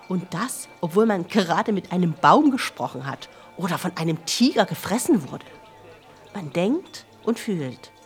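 A woman reading a scripted explanation for children as a narrator. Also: fairly low pitch.

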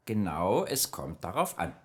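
A man's voice: sits low, very animated, unhurried, slightly rough, heavily acted. A man voicing a friendly, chatty nightmare spirit who patiently explains dreams in a children's radio play. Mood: evasive. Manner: explains patiently, evasive then informative.

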